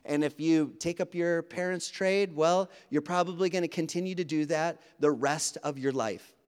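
A man's voice: a little high, normal speed, clear, resonant tone. A man from Wisconsin with a Wisconsin accent, preaching a sermon in a conversational way with asides and fillers. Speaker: pastor. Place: church. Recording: reverberant church hall.

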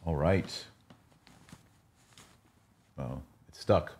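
A man's voice: rumbling low, drawn-out words, a little mellow, breathy edge. A man hosting a podcast in a casual, conversational way. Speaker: podcast host.

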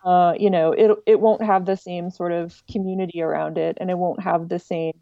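A woman's voice: on the low side, fairly even intonation, a little fast, creaky, no echo, muffled recording. A woman speaking casually and informally as an interview guest, with a wry manner. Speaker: interview guest, director of an online music archive.

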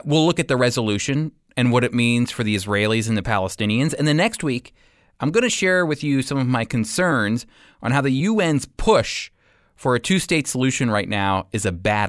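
A man teaching and commenting as a radio preacher and host, speaking emphatically and deliberately with weighty pauses. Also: mid-range voice, no echo, studio quality.

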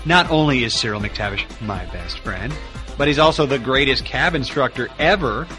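Man acting brash space cab rookie, web comedy, chatty, cheeky, medium pitch, loud music. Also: animated, moderate pace, lightly acted. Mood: boastful. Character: brash, crude-joking space cab trainee. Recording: music behind comedy trailer dialogue.

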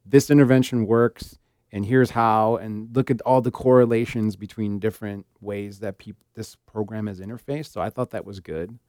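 A man's voice: fairly low pitch, steady tone, normal speed, darkish tone, no room sound. A middle-aged man co-hosting a casual podcast, with a wry, joking way of talking. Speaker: podcast co-host.

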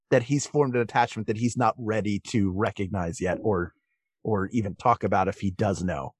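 A man speaking as a guest on a discussion podcast, thinking through his phrases with a halting delivery. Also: lowish voice, breathy edge, no room sound, studio quality. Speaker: guest voice actor.

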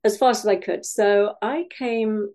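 A middle-aged woman from Wales, a podcast guest telling her story with many pauses, fillers and small laughs. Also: mid-range voice, steady tone, dark tone. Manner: hesitant, many fillers and restarts, laughs often. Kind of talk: podcast interview.

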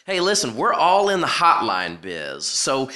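Upbeat male podcast host for an improv comedy bit; bright and animated. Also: medium pitch, measured pace.

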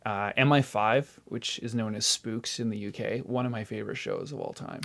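A man's voice: on the low side, expressive, moderate pace, gravelly, a bit bright. A man in a casual interview as the guest, an opinionated enthusiast who likes explaining details. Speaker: interview guest, TV DVD website founder.

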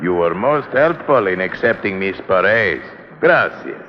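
An actor playing a cold-blooded smuggling boss, suave and mocking, in 1950s drama style. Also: male voice, low voice, expressive, measured pace. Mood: smug. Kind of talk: 1950s television drama acting. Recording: muffled, noisy vintage drama soundtrack.

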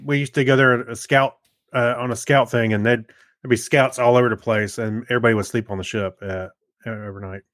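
A man's voice: medium pitch, fairly even intonation, brisk, fairly bright tone, no room sound, clean studio sound. A man co-hosting a podcast, chiming in casually with an informal, teasing way of talking. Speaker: podcast co-host. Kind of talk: casual chat, interjecting.

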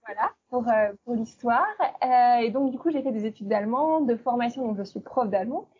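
A French woman with a slightly husky, fairly high voice talks as a guest, explaining at length about learning languages. She speaks casually, full of fillers and self-corrections. Her voice comes through a somewhat dull, lo-fi line. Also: a little fast. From eastern France. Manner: chatty, many fillers and restarts. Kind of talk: casual interview talk.

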